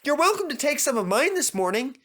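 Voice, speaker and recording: Man doing a high-pitched, eager little engine. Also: very animated, fairly quick, pushed and bright, heavily acted. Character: small, obliging male tank engine.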